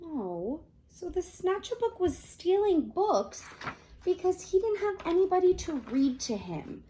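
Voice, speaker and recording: A woman reading a children's picture book aloud expressively, pausing to ask her young listeners questions. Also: very high-pitched, big pitch swings, dark tone, a little hiss or hum, low-quality recording. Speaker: read-aloud host, storyteller.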